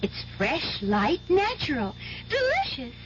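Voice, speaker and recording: An actress voicing a perky, bossy fairy-tale taste tester in a 1970s radio commercial. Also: female voice, high-pitched, wildly swooping pitch, slow pace, full character voice. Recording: dull, noisy 1970s radio broadcast.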